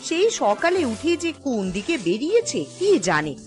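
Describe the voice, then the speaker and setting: A woman's voice: high-pitched, wildly swooping pitch, normal speed, faint music behind. A woman playing a fretful village wife and mother in a cartoon, nagging and exasperated. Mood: exasperated worry. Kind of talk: Bengali cartoon dialogue.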